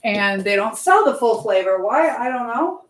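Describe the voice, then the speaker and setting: A woman's voice: a little high, lively intonation, moderate pace, slight background noise. A woman hosting a casual live stream, rambling between her own chat and replies to viewer comments. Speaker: live stream host and life coach.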